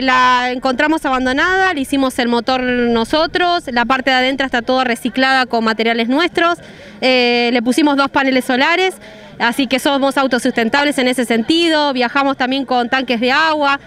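A woman's voice: high voice, moderate pace, a bit bright. A young woman from Monte Grande with a Buenos Aires Spanish accent, explaining her van travels in an upbeat street interview on a handheld mic. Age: young adult. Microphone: handheld reporter mic.

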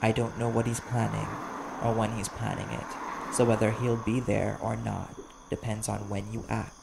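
A man voicing a wary, desperate vampire bounty hunter in an audio roleplay, blunt and guarded, with a gravelly voice. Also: fairly low pitch, little pitch movement, moderate pace. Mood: wary, resolute. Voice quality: gravelly, creaky. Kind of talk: ASMR audio roleplay acting. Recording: clear background noise, chirping crickets.

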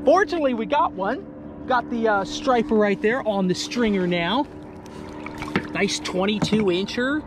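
Excitable young man fishing outdoors. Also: high-pitched, unhurried, background noise. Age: young adult. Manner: chatty, excitable when fish bite. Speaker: fishing vlogger. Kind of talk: casual outdoor vlog commentary. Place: small boat on a lake outdoors. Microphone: action camera on the boat.

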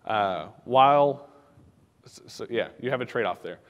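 A man giving a technical conference talk in a casual, halting way, with many fillers and restarts. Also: mid-range voice, lively intonation, big-room sound. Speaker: conference speaker. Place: conference hall.

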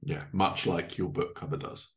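A man's voice: low-pitched, steady tone, normal speed. A man in his thirties chatting casually as a podcast host on a video call. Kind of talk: casual interview chat. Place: living room, indoors, on a video call.

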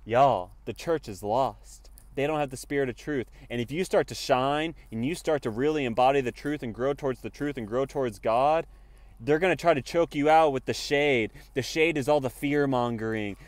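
A man's voice: mid-range voice, normal speed, no echo. A young man preaching emphatically into his phone from inside a car, using an earphone mic and saying y'all often. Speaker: spiritual vlogger. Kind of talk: preachy selfie vlog monologue. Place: parked car. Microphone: earphone mic, phone at arm's length.

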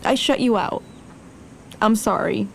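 A woman's voice: mid-range voice, measured pace, vocal fry, a little hiss or hum. An actress playing a tender, teasing young Mexican American businesswoman in a naturalistic audio romance. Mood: heartfelt, apologetic. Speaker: actor in a scripted podcast romance. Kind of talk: naturalistic audio drama acting.

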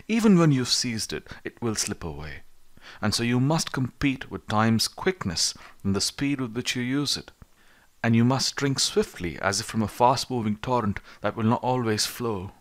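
A man narrating an audiobook of philosophy in a measured, rhetorical way, with emphatic delivery. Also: medium pitch. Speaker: audiobook reader.

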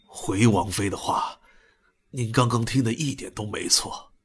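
A man playing a deferential, hesitant household steward with a husky voice in an audio drama. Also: on the low side, measured pace. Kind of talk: audio drama acting in Mandarin.

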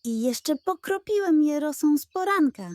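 A woman's voice: high-pitched, expressive, normal speed, darkish tone, no echo, pitched above the performer's own voice. A woman voicing a proud, showy male gander who gardens, in a children's story.

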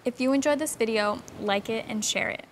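A woman's voice: on the high side, fairly quick, slight background noise. A woman presenter delivering a scripted online video sign-off.

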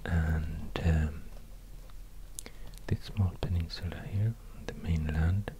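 A man with a Swedish accent murmurs and whispers in a soft ASMR style, extremely low and slow. He sounds hesitant, pausing mid-sentence, and is recorded up close with clear noise behind him. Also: fairly even intonation. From Sweden. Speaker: ASMR video creator. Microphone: close binaural microphone.

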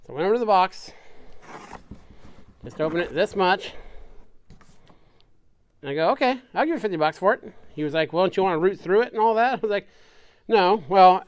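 A man's voice: high voice, expressive, a little fast, long pauses. A man chatting casually to camera in his workshop, naming tools as he unpacks a box. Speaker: machine shop owner showing a tool haul. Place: workshop bench, indoors.

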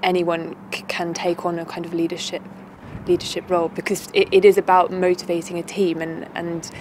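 A woman's voice: fairly low pitch, noisy background. A young woman, a medical student, speaks earnestly outdoors with small restarts. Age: 20s. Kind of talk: interview answer.

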